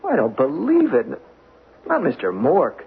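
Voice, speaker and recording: Earnest everyman, husky, lively pitch, old muffled radio play. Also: unhurried, full character voice. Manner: casual, earnest, puzzled. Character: trusting, loyal young American male employee. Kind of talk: old-time radio drama acting.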